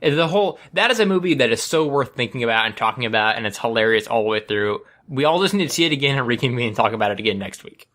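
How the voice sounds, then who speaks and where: Animated man with a bright voice. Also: mid-range voice, fast, few pauses. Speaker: podcast host. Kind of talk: casual chat.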